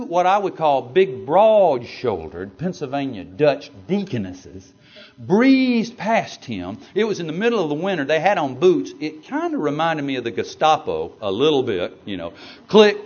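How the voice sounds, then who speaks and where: A man with a Southern US accent, a pastor telling a story in a sermon with animated, stammering asides. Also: medium pitch. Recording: dull lo-fi sound.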